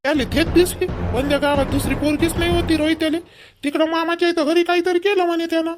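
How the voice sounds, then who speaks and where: Man playing a high-pitched, opinionated village son. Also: level tone, quick pace, faint music behind. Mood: disapproving. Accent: Vidarbha Marathi. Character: opinionated, protective young married village son. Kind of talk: homemade cartoon family dialogue.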